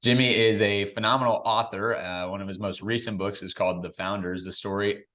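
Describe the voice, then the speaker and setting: A man's voice: on the low side, fairly even intonation, brisk, a bit bright. A man hosting an interview podcast, introducing and questioning in a conversational way. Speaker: podcast host.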